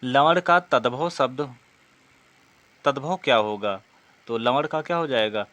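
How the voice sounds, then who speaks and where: Guy teacher doing practice test questions, medium pitch, explains answers step by step, kinda didactic. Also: measured pace, fairly bright tone, no echo.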